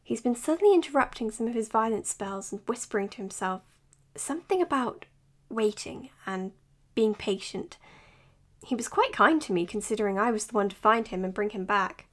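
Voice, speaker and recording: Actress playing an earnest woman doctor, higher voice, matter-of-fact clinical log, audio drama. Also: normal speed, lightly acted. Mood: measured, clinical. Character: earnest, weary woman psychologist keeping case notes.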